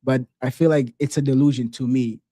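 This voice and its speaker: A man from Tanzania hosting a casual interview, speaking in an animated, slangy and opinionated way. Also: medium pitch, a little fast, no echo, studio quality.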